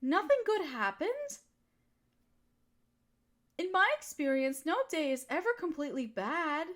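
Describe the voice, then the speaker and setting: A woman playing an affectionate, teasing, gently dominant partner who soothes and flirts in an intimate roleplay audio. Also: high voice, animated, a little slow. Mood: caring, soothing.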